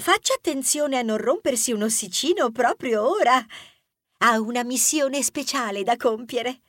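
A woman's voice: fairly high pitch, moderate pace, dry recording, studio quality, natural voice. A woman voicing a gushing, lovestruck young female rodent train conductor in a children's audiobook, effusive and easily flustered. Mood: cheerful, eager. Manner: effusive, flustered, swooning, repetitive apologies. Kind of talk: children's audiobook character acting.

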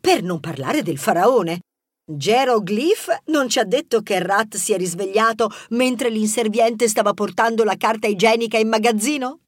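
Woman playing a high, sassy storybook mouse girl. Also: normal speed, dry recording, clean studio sound, natural voice. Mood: excited. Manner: lively, sassy. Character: bold, sassy female mouse, storybook sidekick.

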